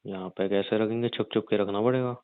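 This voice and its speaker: A man playing a rough young gangster husband in an audio drama, crude and teasing yet protective, talking in slang. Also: on the low side, fairly even intonation, quick pace, no room sound, close to an everyday voice. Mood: scheming, explaining. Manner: slangy, crude, mild swearing. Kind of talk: audio roleplay drama, conversational. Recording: narrow phone-like dry sound.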